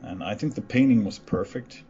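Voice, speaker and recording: Finnish-accented man, low muffled voice. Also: a little hiss or hum. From Finland. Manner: relaxed, halting with many uhs. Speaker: band member interviewed. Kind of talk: casual interview.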